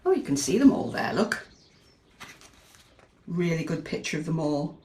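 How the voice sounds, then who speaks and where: British woman, echoey room, animated storytime delivery, slightly low pitch, chatty questioning asides for young children. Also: normal speed, slight background noise. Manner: expressive, asks the young listeners questions. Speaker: teacher reading a picture book to young children.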